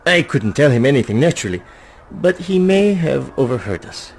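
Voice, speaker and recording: A man's voice: medium pitch, very animated, moderate pace, vocal fry, breathy edge, full character voice. A man playing a nervous, shifty informant in a video game, anxious, hesitant and eager to placate. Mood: nervous, defensive. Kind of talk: video game cutscene voice acting.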